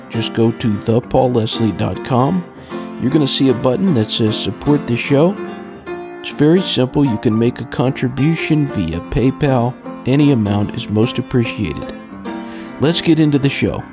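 A man hosting a radio interview show, speaking in a polite, announcer-like way with scripted introductions. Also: medium pitch.

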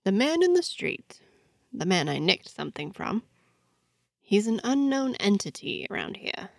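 A woman's voice: medium pitch, sing-song, measured pace, vocal fry, dry recording, studio quality, full character voice. A woman voicing a mischievous, easygoing male pickpocket with a nonchalant, teasing manner in an audio story. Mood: explaining. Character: mischievous, easygoing man, a roguish pickpocket informant.

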